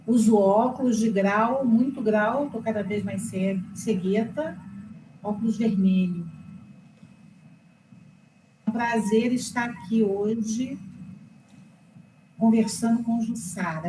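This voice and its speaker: Woman teacher, relaxed slow pace, casual chat; echoey room with noise. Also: mid-range voice. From Brazil. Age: older. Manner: slow, chatty, frequent filler tags.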